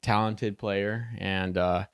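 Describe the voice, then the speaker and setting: A young man with a low, smooth, resonant voice, chatting easily as a podcast guest, full of relaxed fillers and restarts. He is recorded dry and close with no room sound. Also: takes it slowly, no room sound. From Chicago, United States. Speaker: podcast guest, soccer player. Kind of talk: casual interview chat.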